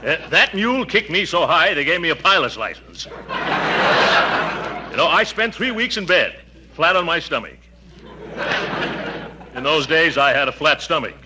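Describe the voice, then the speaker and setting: An actor playing a pompous but kindly middle-aged uncle in 1940s radio comedy, with hesitant hems and hearty chuckles. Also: male voice, fairly high pitch, sing-song, normal speed, pauses often. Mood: mock dignified. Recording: noisy, crackly old radio broadcast.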